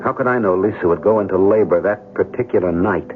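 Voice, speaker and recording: An actor playing an evasive, selfish young American military pilot in an old-time radio drama, talking in slangy fashion. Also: male voice, deep voice, quick pace, narrow, tinny audio, lightly acted. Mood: rueful, cynical narration. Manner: slangy, hesitant when making excuses. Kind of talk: old-time radio drama acting with first-person narration. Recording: band-limited old radio broadcast, music behind.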